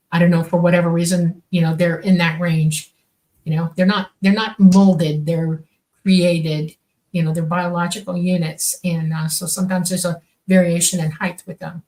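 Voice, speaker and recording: A woman's voice: lowish voice, a little mellow. A woman in her sixties whose first language is Swedish, co-hosting a casual podcast and rambling with lots of fillers. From born on a military base, raised all over. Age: 60s. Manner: rambling, many fillers and restarts. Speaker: co-host, contactee.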